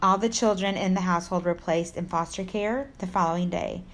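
A woman's voice: on the low side, fairly even intonation, fairly quick. A woman from Texas with an American accent, co-hosting a podcast, reading a story in an informal way with casual asides and stumbles. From Texas, United States. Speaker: true crime podcast co-host.

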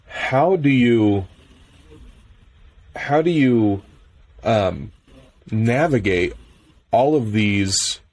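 A man's voice: medium pitch, expressive, very slow, long pauses, a little mellow. A man with an American Midwestern accent hosting a podcast interview, asking questions hesitantly and repeating words. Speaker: podcast host.

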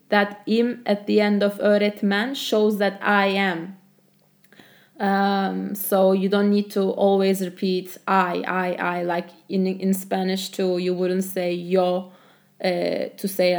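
Woman teaching a language lesson, super chatty and relaxed, says um a lot, slows down on the example phrases. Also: medium pitch. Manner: relaxed and chatty, many fillers, repeats example phrases slowly. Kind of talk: casual spoken lesson.

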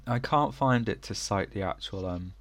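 A man's voice: on the low side, moderate pace, a bit bright. A man co-hosting a casual podcast, rambling with lots of fillers and giggles, still sniffly from a cold. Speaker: podcast co-host. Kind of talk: casual chat.

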